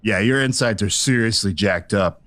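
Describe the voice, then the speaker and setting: A man's voice: lowish voice, moderate pace, fairly bright tone, no echo, natural voice. A man voicing a careless, cheerfully tactless cartoon doctor with a flippant, casual manner. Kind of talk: cartoon voice acting.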